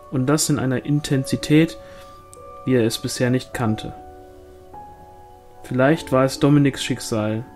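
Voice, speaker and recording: A man's voice: medium pitch, a little mellow. Man narrating a novel over music. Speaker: audiobook reader. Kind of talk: scripted narration, reading a fantasy novel.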